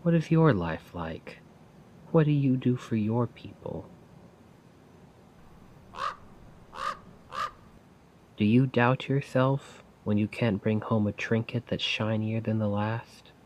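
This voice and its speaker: A man playing a weary, self-doubting failed poet in a soft-spoken, rambling ASMR roleplay monologue. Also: lowish voice, slight background noise, natural voice. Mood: gently questioning. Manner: slow, brooding, rambling. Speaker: roleplay audio performer.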